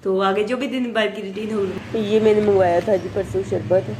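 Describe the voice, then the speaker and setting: A woman from Pakistan with a Pakistani Urdu accent, vlogging her daily routine at home, chatting softly with a hoarse voice from a sore throat. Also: mid-range voice, steady tone, moderate pace, noisy background. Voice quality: soft, chatty, full of blessings and "ji".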